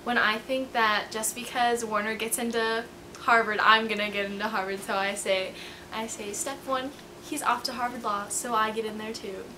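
A woman's voice: on the high side, steady tone, moderate pace, bright, forceful tone. A woman in her late teens speaking casually in an interview as a student actor. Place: indoor school stage during rehearsal. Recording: noisy room, busy ambience.

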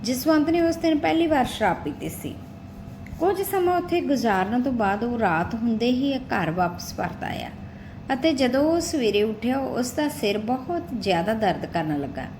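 A woman's voice: fairly high pitch, big pitch swings, normal speed, darkish tone. A woman narrating a scripted story as an audiobook-style storyteller. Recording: clearly noisy recording with background ambience.

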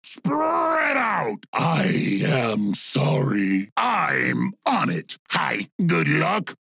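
A goofy orc shaman from a video game. The voice sits high for a male, rough and croaky, and swoops wildly up and down. He sounds simple-minded and gleeful about destruction, like an overexcited child. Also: wildly swooping pitch, drawn-out words, pushed and bright, no room sound, studio quality, full character voice. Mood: urgent, eager. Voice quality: gravelly, raspy. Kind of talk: video game character voice lines.

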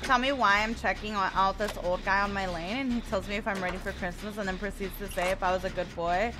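A woman's voice: mid-range voice, steady tone, fast, background noise. A woman in her twenties from the United States, chatting casually with her stream viewers and reading their messages aloud while she plays. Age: 20s. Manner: chatty, laughs often, reads chat aloud.

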